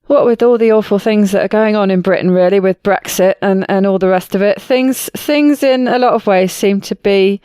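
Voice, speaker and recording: A woman's voice: mid-range voice, normal speed, hardly any pauses, warm tone, clean tone, no room sound. A woman hosting a podcast, reading a scripted intro and then chatting with her guest in an upbeat, friendly way. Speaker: podcast host. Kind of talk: scripted intro, then casual interview chat.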